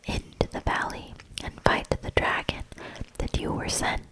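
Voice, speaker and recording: A woman voicing a wise, good old male hermit in a storybook, in a breathy, solemn, measured whisper. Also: close to an everyday voice, low-quality recording. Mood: solemn, guiding.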